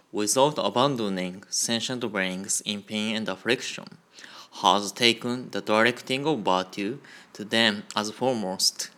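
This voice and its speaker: A man giving a Buddhist Dharma talk on a radio program, in a slow, measured and careful delivery. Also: lowish voice, pushed and bright. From Japan. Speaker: Buddhist minister.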